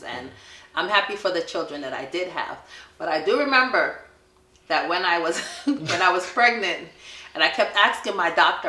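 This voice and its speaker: A middle-aged woman with a Jamaican accent, telling her son stories in a relaxed family question-and-answer chat and laughing often. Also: low-pitched, roomy sound. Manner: warm storytelling, laughs often.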